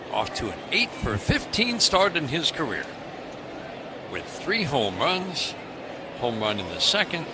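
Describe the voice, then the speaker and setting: A man calling baseball play-by-play on the radio, briskly, his voice rising on big plays. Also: mid-range voice, background noise. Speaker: play-by-play announcer.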